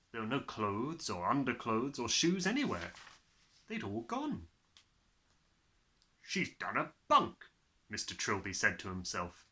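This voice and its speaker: A man reading a children's story aloud in an expressive narrating style. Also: medium pitch. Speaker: audiobook reader.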